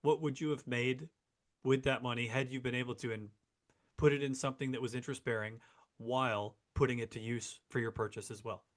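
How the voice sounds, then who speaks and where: A man's voice: medium pitch, fairly even intonation, a little fast. A man co-hosting an interview in a casual, explanatory conversational style. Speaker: interview co-host.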